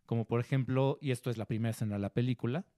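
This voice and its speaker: A Mexican man with a mellow, dark voice talks about cinema as a film critic. He tells stories with enthusiasm, full of "este" and "eh" fillers. The sound is dry and close, with no room echo. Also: medium pitch, steady tone, racing. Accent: Mexican Spanish. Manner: enthusiastic storyteller, many fillers. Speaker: film critic, magazine editor. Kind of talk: casual film discussion.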